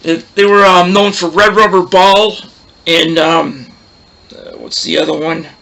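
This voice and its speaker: Loud, gravelly, bright-voiced man. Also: sits high, fairly even intonation, measured pace, rough recording. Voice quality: loud, roaring voice. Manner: rambling, chatty, loses his train of thought. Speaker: host of a record collection show. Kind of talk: casual show-and-tell chat.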